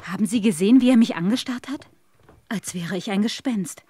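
A young governess in an audio drama. Her medium-pitched voice is polite and earnest, with an anxious eagerness. The sound is dry, with no room echo. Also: fairly quick, mellow, husky. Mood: concerned. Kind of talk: German audio drama acting.

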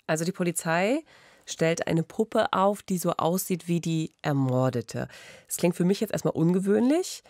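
A woman's voice: fairly low pitch, expressive, normal speed, darkish tone, no room sound. A woman in her thirties co-hosting a conversational true-crime podcast on a close studio mic. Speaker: podcast co-host. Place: small radio studio. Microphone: close studio mic on boom arm.

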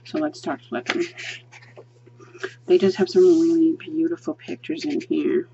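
A woman's voice: low-pitched, fairly even intonation, measured pace, vocal fry, dark tone, highs cut off, slight background noise. A woman reviewing a coloring book as she flips through it, chatty and gushing, with lots of ums while she thinks aloud. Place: indoors at a table, hands seen flipping pages.

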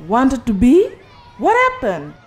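Football star, high pitch, animated intonation, confident, friendly, cartoon. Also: female voice, takes it slowly, breathy edge, heavily acted. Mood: encouraging. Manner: encouraging, instructive. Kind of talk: animated motion comic acting.

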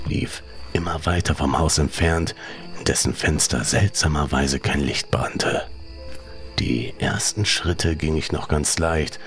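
A man narrating a scripted first-person horror story in a suspenseful, measured way, with some breathy, hushed phrasing. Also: extremely low pitch.

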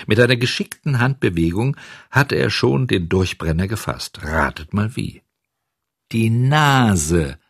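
A man narrating a children's audiobook in an expressive, dramatic way, speaking directly to young listeners. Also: deep voice, breathy edge, no room sound, clean studio sound.

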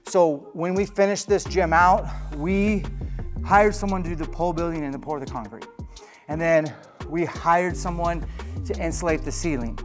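A man's voice: a little high, normal speed, faint music behind. A man in his thirties hosting a casual, enthusiastic tour of his home gym, recorded on a lapel mic indoors. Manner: upbeat, plain-spoken, enthusiastic. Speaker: host.

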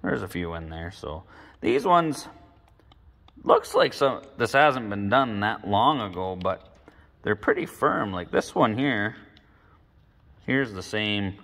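A man's voice: fairly low pitch, sing-song, slow, gravelly, long pauses, fairly bright tone, in a large hall. A man casually talking through a carburetor rebuild at his garage workbench, thinking aloud with folksy slang. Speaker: mechanic explaining a carburetor rebuild. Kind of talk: casual hands-on workshop narration. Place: indoor garage workshop at a workbench.

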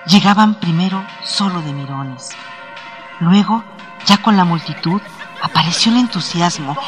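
A man playing a somber village storyteller, radio drama narration, higher-pitched voice, music clearly behind. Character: villager recounting a dead town's tragic past.

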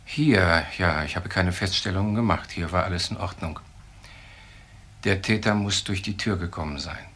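An actor playing a composed, authoritative professor in a radio crime drama, speaking formally with measured pauses. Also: male voice, sits low, a bit bright. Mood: controlled, grave. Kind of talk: naturalistic German radio crime drama acting.

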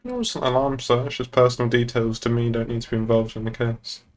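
A man's voice: mid-range voice, fairly even intonation, fairly quick. A young man playing a police boss on a roleplay game stream, chatting casually in an understated, polite but pointed way. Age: young adult. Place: indoors at a streaming desk.

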